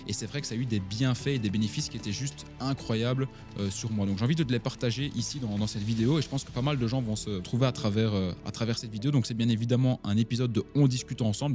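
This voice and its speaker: A man in his twenties with a Belgian French accent, talking casually and directly to his viewers about his own life. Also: lowish voice. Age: 20s. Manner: chatty, addresses the listener directly, many fillers. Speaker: online entrepreneur and vlogger. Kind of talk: casual talk to camera.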